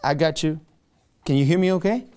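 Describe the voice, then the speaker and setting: A man playing an eager, cocky but jittery rookie realtor in a screenplay table read, speaking conversationally and a bit haltingly. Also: fairly high pitch, darkish tone, clear, resonant tone, close to an everyday voice. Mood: flirty, cocky.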